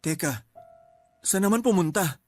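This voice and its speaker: Wary young friend in a radio drama, kinda high voice, matter-of-fact, light music behind. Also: male voice, normal speed, no room sound. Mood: puzzled, wary. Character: wary, puzzled young male friend at a wake.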